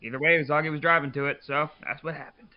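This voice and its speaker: A man's voice: a little high, steady tone, fast talker. A man co-hosting a podcast, chatting casually. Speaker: podcast co-host. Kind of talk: casual chat. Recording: dull lo-fi mic.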